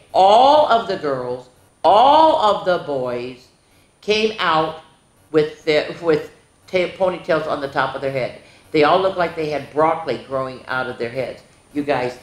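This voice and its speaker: A woman hosting children's story time, reading a picture book aloud and breaking off for exclaiming asides to her listeners. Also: low voice. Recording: roomy, echoing indoor sound.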